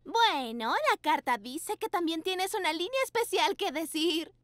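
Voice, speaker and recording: A woman voicing a kindly, playful animal babysitter in a children's cartoon, encouraging and animated. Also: extremely high pitch, measured pace, no echo, clean studio sound. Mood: playful coaching. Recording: music bed behind the voice.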